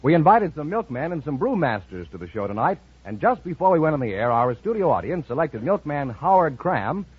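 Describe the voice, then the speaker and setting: A man's voice: on the high side, big pitch swings, a little fast, hardly any pauses, husky. An American man announcing on 1950s radio, with a formal showman's delivery of introductions and sponsor copy. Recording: dull old radio recording.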